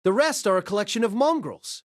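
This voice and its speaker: A man's voice: high-pitched, animated, fairly quick, no room sound, clean studio sound. An actor playing an arrogant, contemptuous king who scorns everyone around him, in an audio drama. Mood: scornful. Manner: scornful, imperious, shouting insults.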